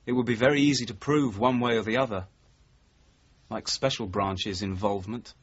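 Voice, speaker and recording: Husky, dogged British detective sergeant. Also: male voice, on the low side. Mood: quietly accusing. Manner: terse, measured, accusing. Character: dogged, level-headed male detective sergeant confronting his boss. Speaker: actor in radio drama. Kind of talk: 1980s British radio drama acting. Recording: dull, muffled old radio recording.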